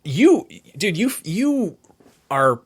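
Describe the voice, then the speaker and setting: A man's voice: high-pitched, sing-song, extremely slow, breathy edge. A middle-aged man co-hosting a casual podcast, circling his point and repeating phrases as he thinks aloud. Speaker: podcast co-host. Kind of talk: casual conversational podcast chat.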